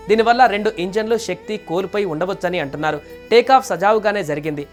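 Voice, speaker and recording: A male news narrator reads a scripted report in a high voice. His delivery is quick, and light music plays behind him. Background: background music.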